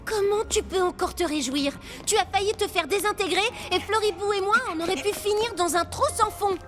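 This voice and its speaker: Very high-pitched, earnest cartoon girl. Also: fairly quick, music in the background. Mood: reproachful. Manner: earnest, concerned. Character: cautious, caring young girl adventurer. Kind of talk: animated children's cartoon acting.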